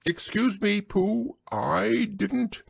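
Man putting on a high-pitched, pompous storybook owl. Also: very slow, creaky, breathy edge, no echo, exaggerated voice. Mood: indignant. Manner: pompous, formal, long-worded. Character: pompous, wordy male owl, brisk and self-important. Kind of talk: storybook character reading.

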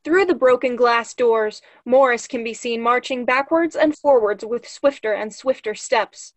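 Young woman narrating, slightly high voice. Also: a little slow, dry recording. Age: young adult. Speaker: stage directions reader. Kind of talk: scripted narration of stage directions. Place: small room at home, video call.

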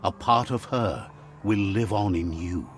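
A man playing a wise, solemn storyteller, narrating a video game fable in a measured, earnest manner. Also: low voice, slight background noise.

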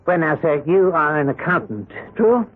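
Husky, smug racketeer, old radio. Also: male voice, on the high side, lively intonation, normal speed. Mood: smug, mock-polite. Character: smug, mock-courteous crooked credit boss. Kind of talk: 1970s radio drama acting. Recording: thin lo-fi vintage radio recording.

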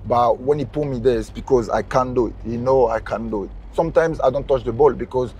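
A man's voice: mid-range voice, a little fast, slight background noise. Laid-back young man chatting in a car. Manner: relaxed, laughs often, simple phrasing. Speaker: footballer guest. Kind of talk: casual chat. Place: inside a moving car.

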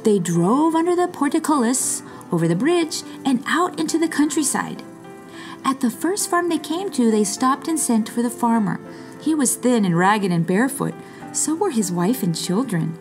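A woman reading a children's story aloud expressively, with dramatic pauses and stretched words. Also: a little high, sing-song, soft background music. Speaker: storybook reader.

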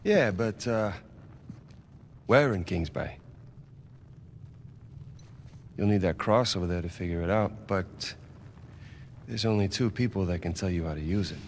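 An actor playing a sardonic, cagey treasure hunter in a game, casual and sarcastic in delivery. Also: male voice, low-pitched, normal speed, vocal fry, pauses often, a little hiss or hum. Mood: cagey bargaining.

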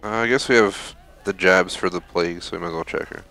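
A man's voice: deep voice, quick pace, sharp tone, a little hiss or hum. A man giving casual, off-the-cuff commentary while playing through a video game. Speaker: gaming video host.

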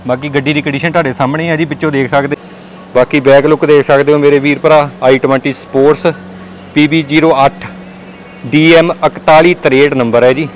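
A young man with a Punjabi accent walking through a large indoor car showroom, repeating a friendly sales pitch to viewers he calls brothers. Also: fairly even intonation, fairly quick, soft background music, rough recording. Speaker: car dealer showing stock. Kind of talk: walkaround sales tour.

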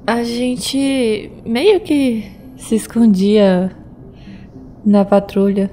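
A woman with a Brazilian accent voicing a pregnant young fighter who talks with halting restarts, in an audio drama. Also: fairly high pitch, fairly even intonation, dark tone, slight background noise. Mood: worried. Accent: Brazilian Portuguese. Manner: hesitant, stumbling restarts.

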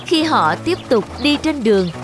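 A woman's voice: sits high, big pitch swings, moderate pace. A woman reading scripted fairy-tale narration for children. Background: background music.

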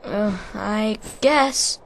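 A man's voice: high-pitched, drawn-out words, gravelly, heavily acted. An actor playing a spirited, confused teenage boy hero in a video game. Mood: groggy. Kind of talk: video game cutscene acting. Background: game music.

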